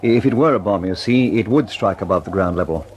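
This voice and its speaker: A man's voice: sits low, expressive, fairly quick, a little mellow, a little hiss or hum. A man playing an earnest, anxious English inventor and engineer in a vintage radio drama, hesitant and politely precise. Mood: intent, methodical.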